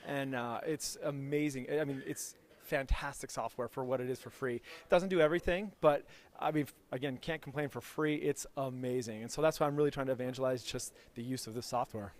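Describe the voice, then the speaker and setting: A man's voice: medium pitch, fairly even intonation, fairly quick. A man speaking as an enthusiastic expert guest, explaining technical material informally in an interview. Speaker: acoustics lecturer, interview guest.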